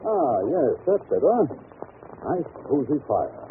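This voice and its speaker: A man playing a brisk, courteous detective in vintage radio drama, authoritative and probing, on an old recording. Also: a little high, very animated, narrow, tinny audio, a little hiss or hum. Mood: soothing, courteous. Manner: probing, authoritative, politely urbane.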